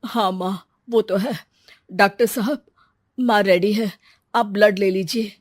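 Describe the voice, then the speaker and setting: A caring young husband acted by a woman in a cartoon family tale. He speaks in an earnest, measured way, and the recording is close and dry. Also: mid-range voice, fairly even intonation, dry recording, close to an everyday voice. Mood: concerned.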